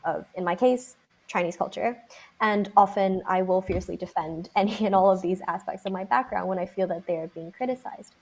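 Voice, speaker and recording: A young woman from Singapore moderating a panel discussion over a video call, reflective, with frequent um fillers. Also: mid-range voice.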